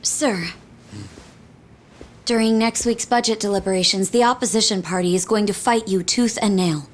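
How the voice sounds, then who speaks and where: An actress playing a polite, efficient secretary who speaks formally and deferentially in a video game. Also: female voice, mid-range voice, normal speed, lightly acted.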